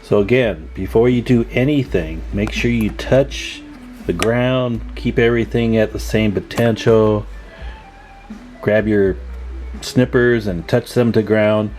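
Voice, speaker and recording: A man with a fairly low voice talks viewers through hands-on repair work. His delivery is casual and folksy, with joking asides as he thinks aloud. Music plays behind him. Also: a little slow, a little mellow. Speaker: hobbyist radio repair demonstrator, channel host.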